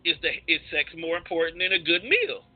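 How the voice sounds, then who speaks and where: A man with an American accent and a husky voice, hosting a casual debate, making his points emphatically and repeating them. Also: on the high side, sing-song, brisk. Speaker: podcast host. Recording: thin phone-like audio.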